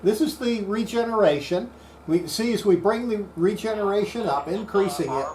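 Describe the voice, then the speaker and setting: An electronics restorer giving an unscripted hands-on repair demonstration, thinking aloud in a halting way. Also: medium pitch, expressive. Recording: clear background noise.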